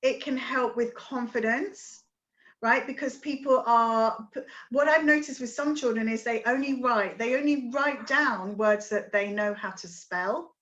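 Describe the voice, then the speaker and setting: A woman speaking as a guest education expert in an interview, passionate and expressive, often checking in with a quick "right?". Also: a little high, highs cut off. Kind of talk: conversational interview.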